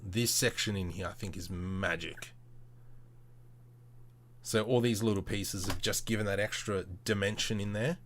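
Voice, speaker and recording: A man's voice: lowish voice, steady tone, unhurried, long pauses, a bit bright, slight background noise. A man hosting a casual livestream, thinking aloud as he works, with informal and playful muttering to himself. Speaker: livestream host demonstrating 3D modelling.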